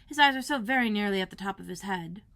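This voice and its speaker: A woman's voice: mid-range voice, lively intonation, fast, fairly bright tone. A woman voicing a polite, curious young girl in a storybook read-aloud, earnest and plaintive and easily exasperated. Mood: puzzled, insistent.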